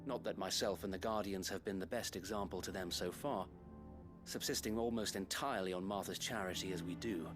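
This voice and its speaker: Actor as a well-spoken English knight captain, music underneath. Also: male voice, deep voice, fairly quick, close to an everyday voice. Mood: thoughtful, regretful. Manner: formal, articulate, expressive. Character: well-spoken knightly guard captain, dutiful, courteous, burdened. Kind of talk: fantasy video game voice acting. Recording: music behind the voice.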